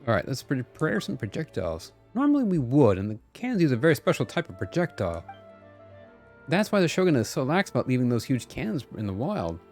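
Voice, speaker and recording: A man's voice: mid-range voice, huge pitch swings, fast talker, a little mellow, soft background music. A man voicing a confident, eager video game character, reading the dialogue aloud in a theatrical, declamatory way.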